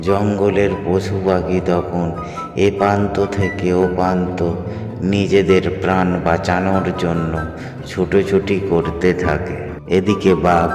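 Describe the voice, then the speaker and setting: A man narrating a scripted children's fairy tale in a storytelling role. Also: sits low. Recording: echoey hall, loud music behind.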